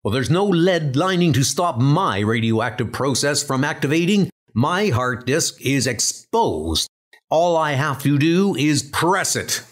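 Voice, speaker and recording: Man as cool, measured adventure hero. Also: fairly high pitch, in a large hall. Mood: determined. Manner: calm, measured, heroic. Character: cool, confident adult male adventurer in post-apocalyptic world. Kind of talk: comic dub character acting. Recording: music under the voice.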